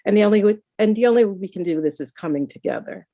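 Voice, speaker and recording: Earnest woman with a mellow voice. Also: medium pitch, expressive, fast talker, dry recording, muffled recording. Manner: earnest, many "you know" fillers. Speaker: student speaker at a town hall. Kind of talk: personal story at a community meeting.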